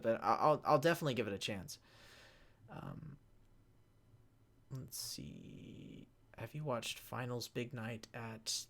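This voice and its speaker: Creaky-voiced man rambling through a casual Q&A. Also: mid-range voice, a bit bright. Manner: halting, rambling, self-interrupting. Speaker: panelist, web series creator answering fan questions. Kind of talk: casual live Q&A, reading questions aloud.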